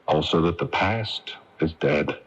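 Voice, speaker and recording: An actor playing a rugged, wry, laconic adventurer hero in a 1980s radio drama. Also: male voice, rumbling low, unhurried. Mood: relieved, tender. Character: rugged, wry adult male adventurer hero, steady and romantic. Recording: dull lo-fi AM radio recording.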